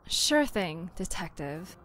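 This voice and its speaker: A woman's voice: fairly low pitch, sing-song, takes it slowly, heavy background noise. A woman playing a teasing, unflappable and secretive co-worker in an audio drama, playful and nonchalant. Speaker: podcast drama actor.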